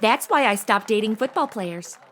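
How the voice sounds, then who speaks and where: A woman's voice: a little high, animated, moderate pace, fairly bright tone. A woman playing a brash, sarcastic young temp posing as a showgirl, talking in blunt modern slang in a noir comedy audio drama. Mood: sassy.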